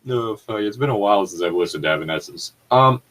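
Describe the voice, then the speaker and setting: A man's voice: on the low side, expressive, quick pace, a bit bright. A man co-hosting a comedic fan fiction reading, chatting casually with explanatory asides and some swearing. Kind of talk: casual riffing and dramatic reading.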